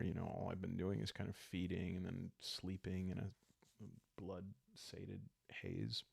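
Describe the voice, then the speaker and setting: A man calmly narrating an improvised solo roleplay story in a soft-spoken, halting way, as if for sleep. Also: sits low, vocal fry, dry recording, clean studio sound.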